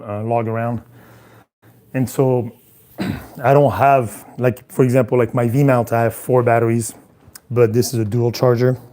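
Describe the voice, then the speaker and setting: Hoarse male vlogger, hesitant and trailing, level low-key voice, dark timbre. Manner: halting, many ums, trailing off. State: losing his voice. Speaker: traveling cinematographer vlogger. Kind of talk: casual vlog talk while unpacking gear. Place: hotel room.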